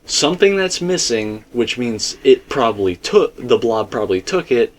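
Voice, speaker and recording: A man's voice: medium pitch, expressive, normal speed. A man hosting a casual theory discussion, talking in a rambling, emphatic way. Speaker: host.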